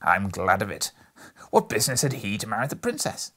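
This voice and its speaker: Brief: old miser, as a male reader puts him on, husky, high and loud bursts, breathy whispers, near tears. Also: level tone, brisk, heavily acted. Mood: ecstatic. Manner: between laughing and crying, swings to whispers. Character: old miserly man, softened, excited by memories. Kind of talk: storybook reading.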